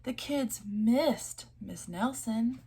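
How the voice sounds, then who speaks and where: A woman's voice: a little high, animated, extremely slow, dark tone, slightly rough. A woman storyteller reading a children's picture book aloud expressively, adding chatty asides to the listeners.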